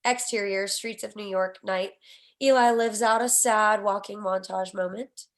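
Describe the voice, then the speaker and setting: A woman reading stage directions at a screenplay table read, with an expressive, wry delivery. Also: mid-range voice, measured pace.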